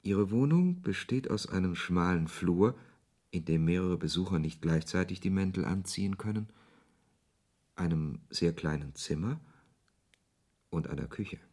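Brooding writer, low husky voice, literary radio drama narration, sardonic, reflective. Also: male voice, measured pace, long pauses, a little mellow. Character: brooding, ironic male author narrating his own story. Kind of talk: first-person radio play narration and dialogue.

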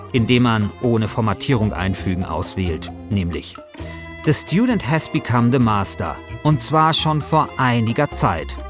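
A middle-aged man narrating a podcast from a script, wry, deadpan and self-deprecating. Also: medium pitch.

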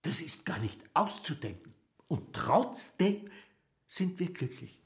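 A nervous bureaucrat in a literary radio drama. His voice sits fairly high and swings widely in pitch. He is excitable and theatrical, drawing out his emphatic phrases. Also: slow, heavily acted. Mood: rapt, solemn. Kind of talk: German literary radio play acting.

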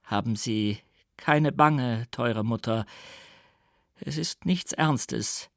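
Reserved nobleman, read by a male actor, subdued and formal, medium pitch, audiobook character. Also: extremely slow, vocal fry, no echo, studio quality. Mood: subdued politeness. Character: melancholy, reserved count musing and feigning another's identity.

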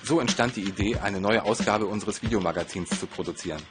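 A man with a lowish voice narrates a scripted personal story. He moves along at a quick pace, with music clearly playing behind him.

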